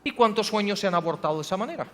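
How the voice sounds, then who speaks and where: A man's voice: sits high, animated, racing, fairly bright tone. A male pastor preaching a sermon in a church, pressing the congregation with rhetorical questions and rising to emphatic passages. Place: church hall. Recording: long hall reverb.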